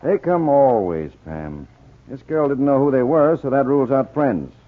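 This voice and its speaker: A man playing a brisk, authoritative police lieutenant who questions and gives orders in a 1940s radio mystery. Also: mid-range voice, expressive, close to an everyday voice. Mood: businesslike. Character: businesslike, commanding police lieutenant. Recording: muffled, narrow-band 1940s radio recording.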